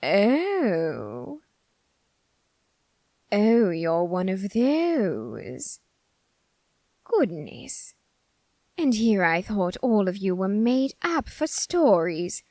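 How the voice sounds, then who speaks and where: A woman's voice: medium pitch, sing-song, extremely slow, long pauses, mellow, dry recording, clean studio sound, heavily acted. A woman performing a flamboyant, haughty and easily exasperated supernatural character in an ASMR roleplay, with a theatrical, condescending, sarcastic drawl. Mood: intrigued, condescending.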